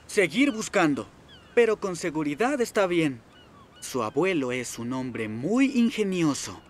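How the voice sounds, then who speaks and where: A man with a Latin American Spanish accent voicing a calm, courteous harbor captain in a cartoon dub. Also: fairly high pitch, a little slow, background noise. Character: calm male harbor captain, steady and respectful.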